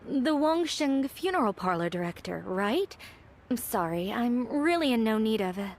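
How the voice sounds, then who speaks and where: A woman's voice: a little high, sing-song, normal speed. An actress playing an uneasy townswoman in a video game, polite but guarded and firm. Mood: wary, firm. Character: uneasy, firmly polite townswoman refusing a sales pitch.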